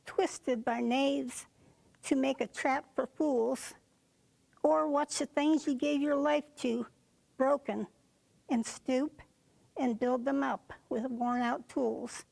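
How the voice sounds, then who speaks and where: A woman reciting a poem for a reader's theater in deliberate phrases set apart by pauses. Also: high-pitched, fairly even intonation, creaky, darkish tone, rough recording. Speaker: poetry reader.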